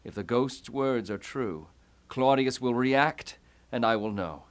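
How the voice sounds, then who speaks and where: A man playing a tormented, scornful young prince in classical Shakespearean style, with theatrical, deliberate delivery. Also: mid-range voice, lively intonation. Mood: resolute, plotting. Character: brooding, tormented young prince who turns scornful and furious. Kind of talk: classical Shakespearean stage acting.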